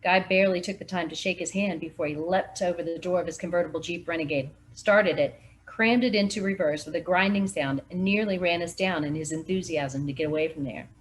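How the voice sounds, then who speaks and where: A woman volunteer reading a mystery novel aloud in steady first-person narration. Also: fairly low pitch, a little hiss or hum, low-quality recording. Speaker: volunteer reader.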